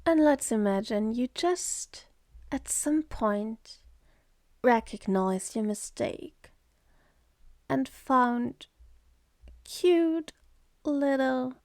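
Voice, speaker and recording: A woman's voice: sits high, lively intonation, extremely slow, long pauses, a little mellow, dry recording, exaggerated voice. A woman voicing a sardonic, seductive demon in an ASMR roleplay, mocking and contemptuous, speaking close to the mic. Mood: exasperated.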